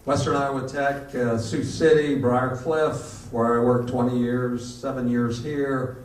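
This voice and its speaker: A man's voice: mid-range voice, flat delivery, a little slow. An older man with an American accent, working as an announcer and speaking live on stage to an audience. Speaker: announcer and Foley artist. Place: indoor venue before a live audience. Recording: roomy live stage, distant mic, audience noise.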